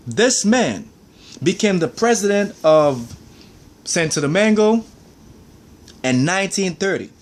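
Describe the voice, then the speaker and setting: A man ranting in a heated, preachy monologue, peppered with "man" and "I mean". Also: sits high.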